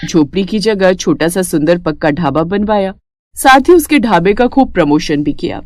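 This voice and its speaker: A woman's voice: lowish voice, expressive, normal speed, a little mellow, no echo, studio quality. A woman narrating a scripted moral story as a storyteller.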